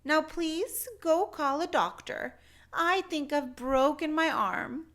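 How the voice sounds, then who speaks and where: A woman voicing a prim, composed female head librarian in a children's storybook, measured and proper. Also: high-pitched, a bit bright, voice pushed up. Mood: composed, pained.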